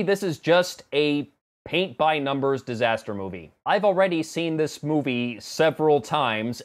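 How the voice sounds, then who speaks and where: A man in his thirties giving a conversational movie review to camera in a sarcastic, exasperated manner. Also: a little high. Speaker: film review vlogger. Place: small home office room indoors.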